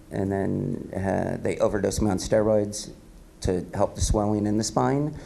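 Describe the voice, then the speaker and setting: Low gravelly raspy-voiced man. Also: mellow, a little hiss or hum. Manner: loose and upbeat, many ums. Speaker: keynote speaker. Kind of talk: casual speech at an awards event. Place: event hall. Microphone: podium microphone.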